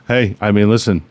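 Animated low-voiced man in his forties. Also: dry recording. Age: 40s. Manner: laughs often, animated, teasing. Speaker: podcast host, contractor. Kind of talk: casual podcast banter.

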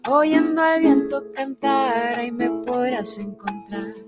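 Soft Colombian woman singing with guitar. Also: on the high side, level tone, slow pace. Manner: soft-spoken, sings gently with guitar. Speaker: guest singer. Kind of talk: live studio interview with singing. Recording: guitar music loud in the background.

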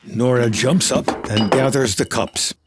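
A man's voice: medium pitch, measured pace, very noisy. A man narrating a film script in a scripted storytelling style.

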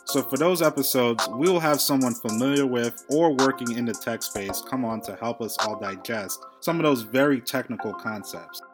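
A man's voice: mid-range voice, normal speed, hardly any pauses, over music. A young man from Brooklyn co-hosting a podcast, upbeat as he reads a prepared outline. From Brooklyn, New York. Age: young adult. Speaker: podcast co-host. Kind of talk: scripted podcast introduction.

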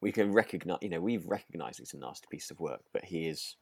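Man, British accent, low voice, very varied intonation, close dry sound, casual rambling podcast chat. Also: lively intonation, fast talker, vocal fry, husky, dry recording. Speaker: podcast co-host. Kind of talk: casual chatty discussion of a radio drama.

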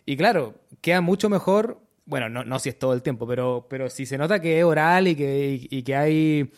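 A man's voice: on the high side, a little fast. A man, a storyteller talking casually on a panel, hesitant with many restarts. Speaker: storyteller guest.

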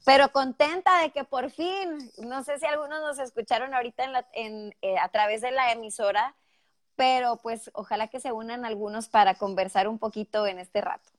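A woman with a pronounced northern Mexican accent, speaking fairly high and lively as she tells her story. The sound is dry and close, with little room around it, coming through a headset on a video call. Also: normal speed, no echo. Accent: northern Mexican Spanish. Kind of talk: casual interview over a video call. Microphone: headphones with mic on a call.

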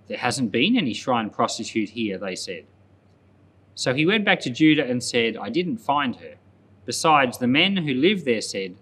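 A man speaking as a pastor, reading and explaining scripture aloud in a measured, plain-spoken way. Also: medium pitch, fairly bright tone, slight background noise. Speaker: pastor, Bible podcast host.

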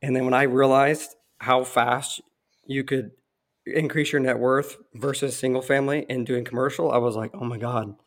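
Brief: male podcast host, hoarse and fading voice, rambling friendly interviewer, mid pitch. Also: fairly even intonation, moderate pace. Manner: rambling, friendly, throat-clearing. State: losing his voice. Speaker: podcast host, real estate investor. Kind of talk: casual interview conversation.

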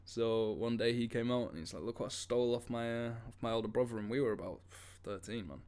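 A young man chats as a podcast co-host. His pitch sits in a middling range. He tells things informally, with plenty of slang and some swearing. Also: fast, a little mellow, clear, resonant tone. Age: 20s. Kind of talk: casual chat and storytelling.

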